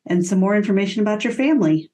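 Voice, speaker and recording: A woman's voice: medium pitch, lively intonation, very fast. Casual woman co-host, slightly noisy line. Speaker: interview co-host. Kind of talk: casual interview chat. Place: remote video call.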